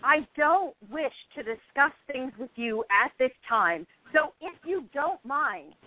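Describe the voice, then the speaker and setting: A woman's voice: sits high, animated, a little slow. A woman acting the part of a depressed, envious, defensive actress of almost thirty in a radio play. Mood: curt, withdrawn. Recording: thin phone-like audio.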